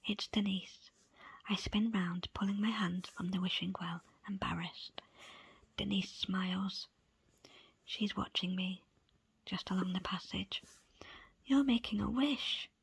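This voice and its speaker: Woman doing asmr book reading, really breathy whisper, slow, close dry mic. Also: mid-range voice, no echo, rough recording. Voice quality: soft, breathy, unvoiced whisper. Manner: slow, deliberate, pausing between short phrases. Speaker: audiobook reader. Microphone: close, dry microphone.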